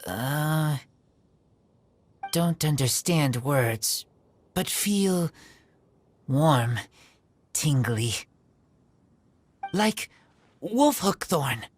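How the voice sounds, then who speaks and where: A woman voicing a blunt but gentle wolf-raised teenage boy in a video game, speaking in halting, broken phrases. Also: deep voice, lively intonation, breathy edge, heavily acted. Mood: shy, gentle. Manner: halting, clipped broken sentences.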